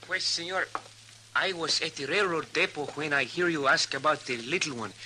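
Roguish Mexican witness, Mexican accent, halting English, forceful bright tone, humming 1950s radio recording. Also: male voice, on the high side, slight background noise, low-quality recording. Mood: eager, confiding. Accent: Mexican Spanish. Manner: halting broken English peppered with Spanish. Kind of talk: 1950s radio western acting.

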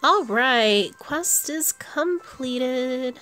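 A woman's voice: high-pitched, lively intonation, very slow, pushed and bright. A woman giving casual commentary on her own gameplay, thinking aloud as she plays. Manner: thinks aloud while playing. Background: video game music.

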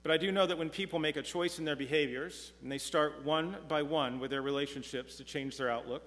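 A middle-aged man preaching a sermon from a pulpit, earnest and measured, slowing down when reading scripture. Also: fairly high pitch, a bit bright. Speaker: pastor. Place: church sanctuary, speaking from a pulpit. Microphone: pulpit mic, far camera. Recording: long church reverb.

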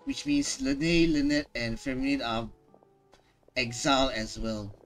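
A man's voice: medium pitch, slow, fairly bright tone, soft background music. A man giving casual live reaction commentary as a streamer, musing aloud and piecing things together as he goes.

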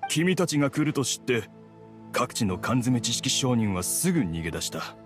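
Expressive, resigned merchant father, acted by a man. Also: medium pitch, moderate pace, soft background music, close to an everyday voice. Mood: wary, resigned. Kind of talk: Japanese anime game voice acting.

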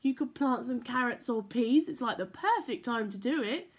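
Lively young woman reading picture books. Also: fairly high pitch, normal speed. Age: young adult. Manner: animated, playful read-aloud with sound effects. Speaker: church children's storyteller. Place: living room at home. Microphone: laptop or phone camera at arm's length.

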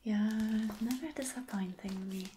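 A woman whispers slowly and close to the microphone, playing a flirty boss in an ASMR roleplay. Her manner is gentle and reassuring, at a medium pitch. There is faint noise and small clicking behind her. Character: flirty, attentive female boss soothing an employee. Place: small dim room indoors.

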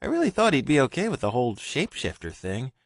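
A man's voice: medium pitch, wildly swooping pitch, fast, creaky, no room sound, studio quality, voice pushed up. A man voicing a small, anxious, educated young man in an audiobook, fretful and wordy. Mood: guilty. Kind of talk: audiobook character reading.

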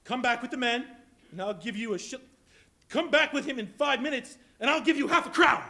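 A man's voice: very high voice, fairly quick, bright, forceful tone, exaggerated voice. A man playing an elderly miser, overjoyed and laughing, in a live stage reading in a large hall. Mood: gleeful. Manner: giddy, exclaiming, laughing. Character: elderly male miser, joyful and giddy.

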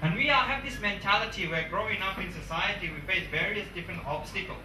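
A man's voice: sits high, quick pace, distant mic, background noise. A young man in his twenties giving an emphatic, repetitive motivational speech to students through a handheld mic in a large conference room. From England. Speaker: motivational speaker. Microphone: handheld mic, far camera. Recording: echoey room, recorded from afar.

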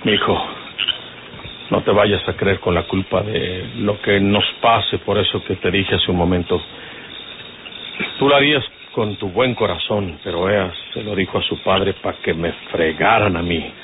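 An actor playing a domineering older outlaw boss in an old radio drama, with a rural northern Mexican accent and a folksy, commanding manner. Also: male voice, low voice, a little slow. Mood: stern, fatherly. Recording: noisy, tinny vintage radio recording.

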